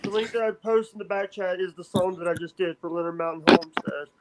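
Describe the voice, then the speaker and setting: A high-pitched man with a Southern accent talks in a group video chat, stuttering and repeating his words. Loud noise and clicks sit behind his voice. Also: fast talker. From Mississippi.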